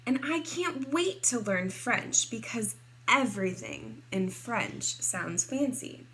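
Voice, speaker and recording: A woman reading a picture book aloud expressively to kindergarteners, in a deliberate teacherly way. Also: fairly high pitch, expressive, fairly bright tone, poor audio. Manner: deliberate, clearly addressed to small children.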